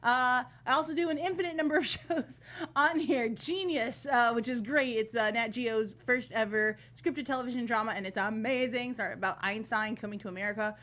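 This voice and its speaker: A woman's voice: fairly high pitch, a little fast, fairly bright tone. A woman chatting casually as a guest reviewer on a panel, emphatic and enthusiastic.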